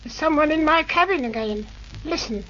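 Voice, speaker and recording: A woman in a vintage radio play voicing Johnny, an eager, brave young boy who chatters excitedly. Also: sits high, normal speed. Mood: puzzled. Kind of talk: 1940s radio acting. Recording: dull noisy old radio recording.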